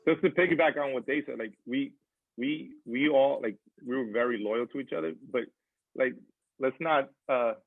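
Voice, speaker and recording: A middle-aged man reminiscing casually, halting and repeating himself with many false starts. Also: medium pitch, dry recording. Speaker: former college athlete. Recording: muffled, dry, narrow-band audio.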